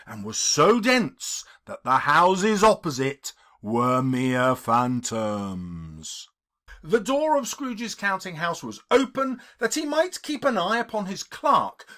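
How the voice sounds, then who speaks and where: A male audiobook narrator with a fairly high, very expressive voice. He reads literary prose theatrically, taking his time and leaning on key words with emphasis. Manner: theatrical, emphatic, measured phrasing. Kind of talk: dramatic scripted literary narration.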